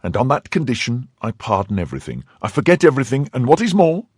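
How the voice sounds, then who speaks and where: A man voicing an indignant young wife who scolds her husband, pushing his husky voice higher. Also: sing-song, quick pace, no echo, studio quality. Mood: commanding. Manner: scornful, pleading and scolding by turns. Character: young woman, indignant, fiercely loyal wife.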